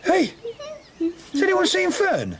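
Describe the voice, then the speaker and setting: A man's voice: extremely high pitch, huge pitch swings, takes it slowly, heavily acted. An actor playing a kind, playful middle-aged father and birdwatcher in a naturalistic radio drama. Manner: gentle, plain-spoken, teasing. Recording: clearly noisy outdoor ambience with birdsong.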